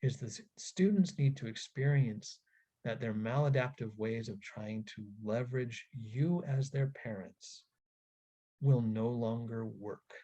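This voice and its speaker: A man giving an instructional webinar talk to parents in an earnest, deliberate way, stressing key phrases. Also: mid-range voice, mellow. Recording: dull, lo-fi webinar audio.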